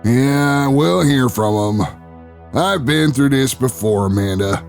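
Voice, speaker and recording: A man acts out a brash ex-wrestler who hosts an investigative show in an audio drama. His voice is gravelly and swings widely in pitch. He is gruff, cocky and quick to anger, with music playing underneath. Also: lively intonation. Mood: confident, defiant. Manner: gruff, cocky, plain-spoken bravado. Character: brash, hot-tempered ex-wrestler turned investigative radio show host. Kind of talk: audio drama narration and dialogue.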